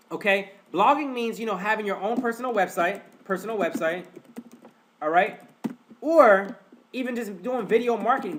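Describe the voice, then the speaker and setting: A man's voice: sits high, fairly bright tone. A man with a stuffed-up nose hosting a live webinar, chatty and repetitive, often calling his listeners guys. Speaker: webinar host. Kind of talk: casual live webinar teaching.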